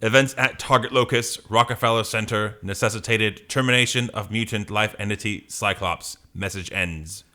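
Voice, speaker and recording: A man voicing a giant emotionless killer robot from a comic, with slow, stiff mechanical delivery. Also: lowish voice, steady tone, sharp tone. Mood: cold, reporting.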